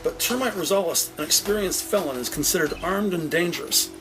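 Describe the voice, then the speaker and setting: A man with a somewhat high voice narrates a crime audio drama. He tells it dramatically and in careful detail, over clearly audible music. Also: brisk, rough recording.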